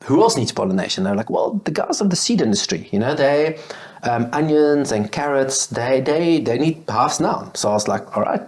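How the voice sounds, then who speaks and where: A man in his thirties tells his story in a relaxed, rambling way. He slips into quoting past phone calls, full of "like" and "um". His voice sits at an ordinary middle pitch. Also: moderate pace. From Belgium. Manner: chatty, acts out past conversations with many fillers. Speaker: guest, beekeeping business owner. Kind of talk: casual interview storytelling.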